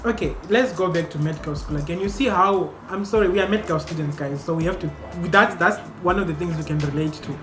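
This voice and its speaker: A young man hosting a casual group discussion among medical students, asking questions in an animated way. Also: a little high, fast, few pauses. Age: young adult. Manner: animated, questioning, laughs often. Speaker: host. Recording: echoey room with music behind.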